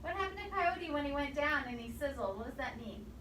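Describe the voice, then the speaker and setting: Bright, high-voiced woman reading in a roomy space. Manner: expressive, pausing to quiz young listeners. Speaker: storyteller reading to children. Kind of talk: interactive picture-book read-aloud. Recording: roomy echo, distant mic, faint noise.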